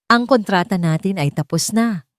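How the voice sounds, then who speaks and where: A woman's voice: medium pitch, moderate pace, no room sound, clean studio sound, lightly acted. Assured, earnest businessman acted by a woman. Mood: firm, earnest. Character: kind, decisive wealthy male businessman in a drama story.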